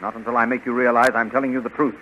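A man's voice: mid-range voice, level tone, rapid-fire, close to an everyday voice. A man acting an earnest, ardent young space hero in a 1930s radio serial, pleading and emphatic. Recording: muffled, hissy 1930s radio recording.

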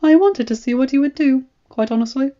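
A woman reads as a shrewd court spymaster in a fantasy story. The voice is raised high, with a formal, indulgent, knowing delivery. Every phrase sounds like calculated scheming. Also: a little fast, warm tone, lo-fi sound, close to an everyday voice. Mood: smooth, knowing. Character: shrewd, smooth, scheming male royal spymaster.

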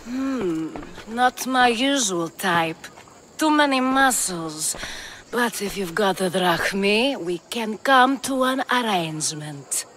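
A teasing courtesan. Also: female voice, medium pitch, expressive, a bit bright, slight background noise. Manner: flirtatious, dry, unhurried. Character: worldly, teasing female courtesan.

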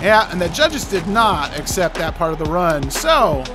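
A commentator giving excited play-by-play of a video game, with exclamatory, drawn-out interjections. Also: medium pitch, background noise.

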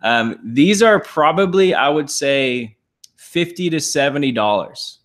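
A man's voice: a little high, lively intonation, a little slow, a bit bright. A man in his thirties co-hosting a casual livestream from a living room couch, talking upbeat while showing items to the camera. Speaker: reseller livestream co-host. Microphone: fixed camera across the room.

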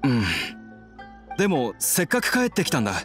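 A man playing a troubled, regretful, soft-spoken young fugitive in an anime game. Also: on the high side, very animated, fairly quick, faint music behind. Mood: earnest, apologetic.

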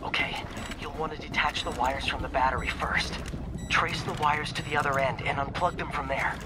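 A man's voice: on the high side, steady tone, moderate pace, heavy background noise, close to an everyday voice. A young man playing an earnest, awkward superhero in a video game, often hesitant and muttering to himself. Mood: focused, urgent. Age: young adult. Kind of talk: naturalistic video game acting.

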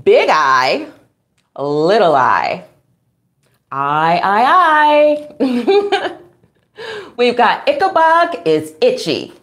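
A middle-aged woman reads aloud as a children's storytime host. Her pace is extremely slow and deliberate, with a pitch that swings widely. She stresses words in a sing-song way, exclaims often and puts questions to her young listeners. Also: sing-song, a bit bright. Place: small room at home, indoors.

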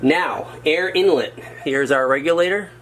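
A man's voice: fairly high pitch, a little slow, a bit bright, a little hiss or hum. A man casually talking through a hands-on workshop project, muttering to himself as he works and making wry asides about his own mistakes. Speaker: hobbyist showing a workshop project. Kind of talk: casual how-to narration while working. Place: indoors at a wooden workbench or floor. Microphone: camera framed on his hands over the work.

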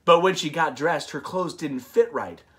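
A man in his thirties co-hosting a children's read-aloud, mixing expressive narration with casual banter. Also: on the high side, normal speed. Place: small indoor room, on a couch.

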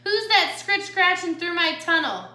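A woman's voice: very high-pitched, very animated, measured pace, pushed and bright, roomy sound, voice pushed up, full character voice. Woman putting on a snarling, hungry female coyote. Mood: menacing, suspicious. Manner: snarling, demanding. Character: hungry, scraggly female coyote, growling and menacing.

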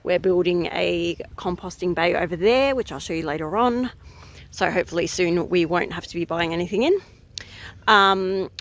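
A woman in her thirties chats casually outdoors in a rural garden, recorded on a phone held at arm's length. She talks in a relaxed, unscripted way, stopping often with ums while she thinks aloud. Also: lowish voice. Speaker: gardening vlogger.

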